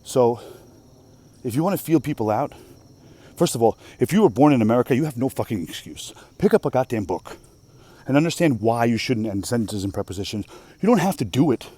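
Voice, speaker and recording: Man, native Russian speaker, mellow tone, emphatic, swearing, rambling rant. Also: mid-range voice, lively intonation, a little fast, breathy edge. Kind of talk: casual rant monologue.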